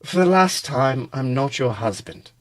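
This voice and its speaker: A man's voice: medium pitch, animated, measured pace, natural voice. A man voicing a gloomy, grumpy ogre who tells his sorrows in a melodramatic, dismissive way.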